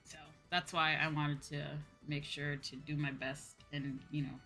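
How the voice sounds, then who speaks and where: Young woman, low pitch, casual livestream chat, rambling and self-deprecating, soft music underneath. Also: little pitch movement, a little slow. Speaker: VTuber streamer. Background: faint background music.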